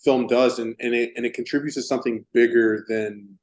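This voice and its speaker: Texan male host asking long rambling questions, mid-pitched, stop-start. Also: steady tone, darkish tone. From Texas. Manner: halting, searching for words with many restarts. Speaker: interviewer. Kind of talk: casual interview.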